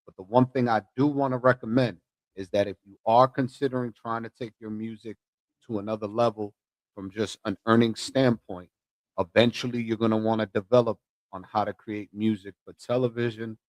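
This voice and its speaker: A man's voice: on the low side, steady tone, fairly quick, dry recording. A man hosting a live music review show, affirming his co-host and introducing the next guest and song.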